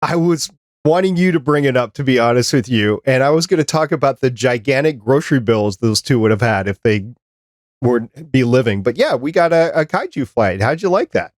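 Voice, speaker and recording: Man, podcast host, casual discussion, asks questions, medium pitch, close dry recording. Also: expressive, brisk, no room sound. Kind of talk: casual review discussion of a cartoon.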